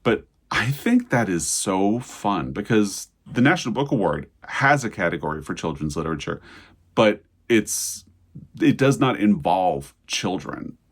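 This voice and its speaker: Guy with a pretty low voice doing casual video commentary, chatty and into it. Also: sing-song, measured pace, slightly rough. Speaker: host of a book news video channel.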